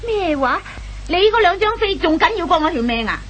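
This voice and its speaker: High-voiced, scolding young girlfriend. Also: sing-song, brisk, fairly bright tone, heavily acted. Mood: exasperated. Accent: Hong Kong Cantonese. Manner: scolding, indignant, emphatic. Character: angry impatient young girlfriend scolding her late boyfriend. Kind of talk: comic radio play acting. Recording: old radio recording with faint noise and hum.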